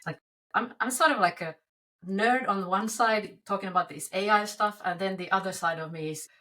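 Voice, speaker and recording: A woman's voice: mid-range voice, moderate pace, sharp tone. A woman from Finland, a podcast guest talking casually over a video call, chatty and full of restarts and fillers. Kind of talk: casual interview talk.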